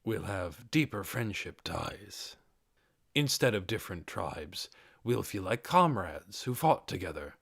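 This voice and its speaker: A man voicing a blunt, pragmatic male lizardman warrior in a fantasy audiobook, with a dark tone and firm, deliberate delivery. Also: deep voice, big pitch swings, creaky, breathy edge, no room sound, clean studio sound, exaggerated voice. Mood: earnest, persuasive. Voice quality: dark-toned.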